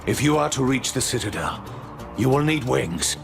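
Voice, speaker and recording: Creaky, imperious ancient archangel. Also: male voice, medium pitch, animated, soft background music. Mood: commanding. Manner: grandiose, imperious, deliberate. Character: proud, zealous, ancient male archangel. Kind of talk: video game cutscene acting.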